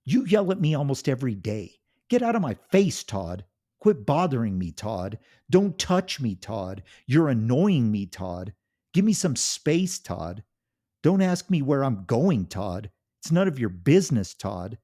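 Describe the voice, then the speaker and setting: A wounded husband at the end of his rope yells and pleads in a raised, indignant voice, as a man acts him out. The voice is husky and mellow beneath the strain. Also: mid-range voice, very animated, a little slow, pitched above the performer's own voice. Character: desperate, hurt husband finally fighting back.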